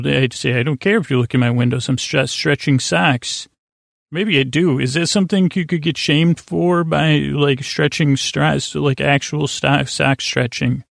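Male sleep-podcast host, creaky mid-pitched voice, halting and rambling bedtime delivery, very dry sound. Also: dry recording. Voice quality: creaky, gravelly. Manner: meandering, halting, stumbling over words, trailing into tangents. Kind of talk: rambling bedtime monologue to lull listeners to sleep.

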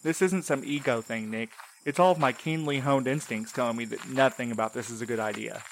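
A man's voice: mid-range voice, fairly quick, a little hiss or hum, close to an everyday voice, low-quality recording. An actor playing a wary, reluctant male courier in an audio drama, with a casual, sarcastic manner. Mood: exasperated, sardonic. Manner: sarcastic, colloquial. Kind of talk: full-cast audio drama acting.

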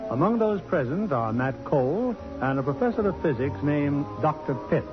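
A man's voice: a little high, animated, moderate pace. A man reading a scripted introduction as a 1950s radio drama announcer. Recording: dull old radio broadcast, music behind.

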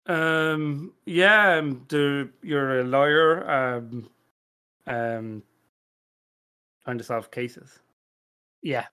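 A man with a bright, fairly high voice on a comedy podcast. He improvises haltingly, feeling for his words and swearing as he goes. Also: clear, resonant tone. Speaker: podcast panellist.

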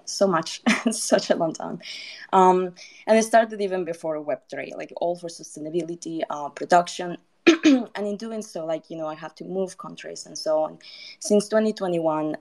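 Guest type: a low-pitched woman from Colombia, relaxed and talkative. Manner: chatty, laughs, many fillers. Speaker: guest designer and educator. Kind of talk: casual conversation.

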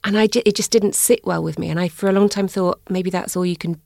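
A woman's voice: medium pitch, steady tone, quick pace, creaky, darkish tone, no room sound. A woman in her forties from north-west England, a guest in a studio interview, speaking thoughtfully and searching for her words with restarts. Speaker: guest, music journalist. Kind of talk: reflective conversational interview.

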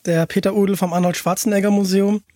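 A man hosting a podcast, chatting casually and enthusiastically, often halting and repeating words as he asks questions. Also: high-pitched, level tone, mellow, dry recording, studio quality. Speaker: podcast host. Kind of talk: casual chat, interviewing.

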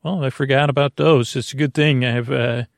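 A man with a creaky, gravelly voice hosting a bedtime podcast in a lulling, meandering, halting monologue full of stammers and tangents. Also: mid-range voice, fairly even intonation, no echo, studio quality. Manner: soothing, lulling. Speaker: podcast host. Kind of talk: rambling bedtime monologue to lull listeners to sleep.

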